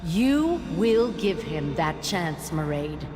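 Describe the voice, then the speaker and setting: A cold, commanding priestess mother for a fantasy video game. She speaks slowly and severely, with solemn weight, in a long echoing hall with music playing behind her. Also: fairly low pitch, big pitch swings. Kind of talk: video game character acting. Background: clear music.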